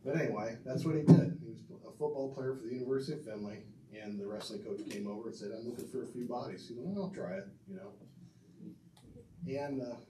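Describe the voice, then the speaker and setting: A man's voice: mid-range voice, fast talker. A middle-aged man gives an earnest tribute speech from a podium in a banquet room, reading from notes with fillers and restarts. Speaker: speaker inducting his father into a hall of fame. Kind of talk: prepared tribute speech with asides to the audience. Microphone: podium mic heard from a far camera. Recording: roomy and distant with faint room noise.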